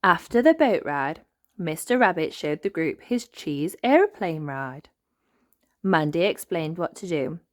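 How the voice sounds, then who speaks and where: Slow, animated woman reading a storybook. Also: fairly low pitch. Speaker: storybook reader. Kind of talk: expressive children's read-aloud narration.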